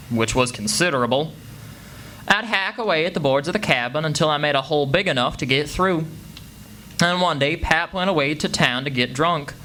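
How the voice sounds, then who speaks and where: A plucky backwoods boy played by a man with a bright, slightly high voice. He tells his story, in a live radio play with faint noise. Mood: confiding. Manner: folksy, plain-spoken. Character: plucky, crafty backwoods boy telling his own adventures. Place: live performance before an audience. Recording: faintly noisy live stage recording.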